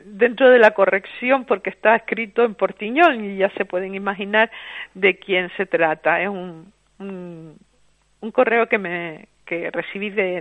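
A woman hosting a radio program, talking and reading with frequent stumbles and self-corrections. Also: medium pitch. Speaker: radio host. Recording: lo-fi phone-like radio sound.

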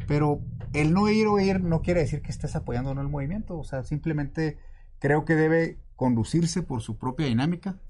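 Hombre chilango con leve ruido de fondo. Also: male voice, on the high side, normal speed, slight background noise. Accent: Mexican Spanish. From Mexico City. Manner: relaxed, explains at length, laughs easily. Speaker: guest, water utility director. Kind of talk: casual interview.

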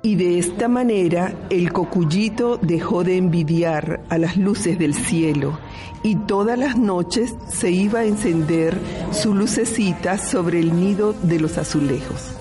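A woman narrating a children's story in an amateur radio play, in a slow, careful storybook manner. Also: on the low side, mellow, faint music behind.

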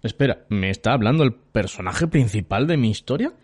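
A man's voice: medium pitch, expressive, fairly quick, a little mellow, slightly rough. Audio drama: male actor as harried, hesitant scriptwriter, Spain accent, average register, naturalistic. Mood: flustered. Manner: hesitant, exasperated, sighing. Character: frazzled, apologetic, grumbling male scriptwriter. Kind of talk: audio fiction acting, naturalistic.

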